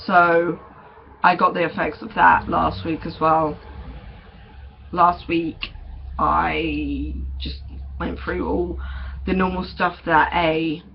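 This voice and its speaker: Young man, vlogger, unhurried and hesitant, gravelly edge, dull lo-fi recording. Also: a little high. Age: young adult. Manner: chatty, hesitant, many ums and small laughs. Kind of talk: casual vlog update. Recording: dull lo-fi recording with faint noise.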